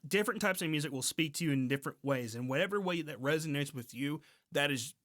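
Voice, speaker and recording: Bright, higher-voiced man in casual podcast chat. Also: expressive, fast talker. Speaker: podcast co-host.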